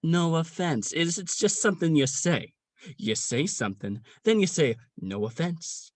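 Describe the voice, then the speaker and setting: A young man acting in a comic radio play as a gruff, jaded old police sergeant, crude and rambling in his slangy talk. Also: on the high side, expressive, unhurried, dry recording. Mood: rambling, nonchalant. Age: young adult. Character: gruff, jaded veteran male police sergeant near retirement.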